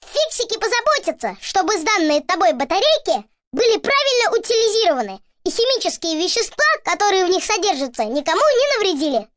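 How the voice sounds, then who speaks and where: Very high chirpy cartoon creature boy. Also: a bit bright, no room sound, clean studio sound, exaggerated voice. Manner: chirpy, encouraging, clearly explaining to children. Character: tiny blue cartoon boy creature, cheerful eager helper. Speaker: game guide and instructor. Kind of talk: animated children's educational game narration.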